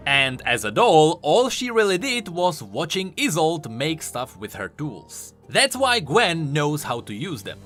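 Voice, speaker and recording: A man with a fairly high, forceful voice delivers game lore commentary. His pitch swings in a very animated way as he explains each point emphatically. Faint music plays underneath. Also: unhurried, few pauses.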